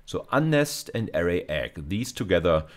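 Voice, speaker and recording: A man's voice: low voice, very animated, normal speed. A middle-aged man giving an explanatory lecture, methodically rephrasing and repeating his key points. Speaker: university lecturer. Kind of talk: explanatory lecture over slides.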